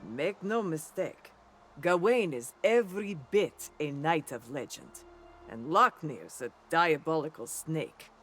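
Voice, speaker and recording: An actor playing a noble, loyal armored knight in a fantasy video game, speaking in a measured, formal, theatrical way with wry self-deprecation. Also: male voice, on the high side, expressive, a bit bright, slightly rough, full character voice. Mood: grave, conspiratorial.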